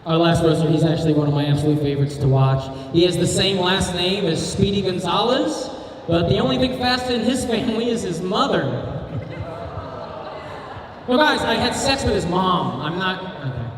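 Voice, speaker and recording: A man hosting a roast, introducing each roaster with loud, hype-style call-outs. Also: high-pitched, lively intonation, moderate pace, a little hiss or hum. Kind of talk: stage emcee introductions. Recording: echoey hall, distant mic, crowd.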